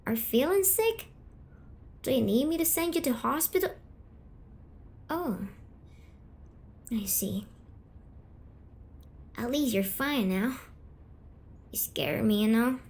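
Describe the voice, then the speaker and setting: Caring, exasperated young girlfriend for ASMR roleplay, acted by a woman. Soft-toned, fairly high, everyday slangy delivery. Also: expressive, slow pace, pauses often, heavily acted. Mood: relieved, soothing. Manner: casual, slangy, fussing. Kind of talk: casual ASMR audio roleplay.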